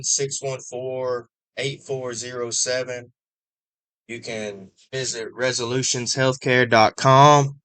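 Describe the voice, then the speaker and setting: A man hosts a recovery podcast, talking slowly in a dry, close room. He gives brief affirmations, then reads out contact details. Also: medium pitch, a bit bright, no echo, rough recording. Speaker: podcast host. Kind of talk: interview podcast hosting.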